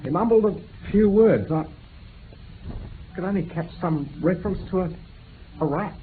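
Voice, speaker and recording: Halting young witness, higher voice. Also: male voice. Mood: anguished. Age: young adult. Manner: halting, earnest, polite. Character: distressed, guarded young man testifying at inquest. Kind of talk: 1960s British radio drama acting. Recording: tinny, band-limited old radio recording with hiss.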